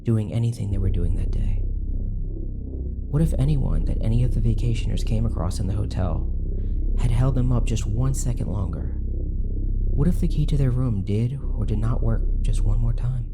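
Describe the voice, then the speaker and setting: A man narrating a dark documentary in a scripted style, with grave, dramatic, deliberate phrasing. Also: mid-range voice. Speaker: narrator of a disturbing-media documentary series. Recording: music behind the voice. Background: synthesizer music.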